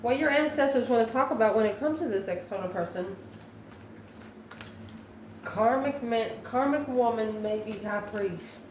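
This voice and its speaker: A woman giving an improvised spiritual tarot reading to viewers, musing in a halting way and posing questions to herself. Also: fairly high pitch, a little mellow. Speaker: tarot card reader, channel host. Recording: echoey room, distant mic, faint noise.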